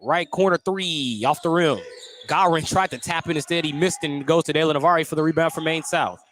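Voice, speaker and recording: Higher-pitched man calling live basketball. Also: fairly quick, fairly bright tone. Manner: clipped, descriptive call of each play. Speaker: play-by-play announcer. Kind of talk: live basketball radio commentary. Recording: noisy live sports broadcast.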